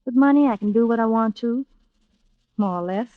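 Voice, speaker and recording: A woman's voice: a little high, moderate pace, no room sound, natural voice. Woman acting a cool, hard-bitten nightclub singer, vintage radio. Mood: defiant. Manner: tough, terse, unsentimental. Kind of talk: old-time radio thriller acting. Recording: dull, lo-fi vintage radio recording.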